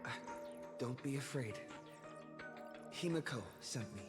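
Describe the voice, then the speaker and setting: A man voicing an earnest, expressive young adventurer in a video game, reassuring and a little flustered. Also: medium pitch, measured pace, loud music behind the voice, lightly acted. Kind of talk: game voice acting.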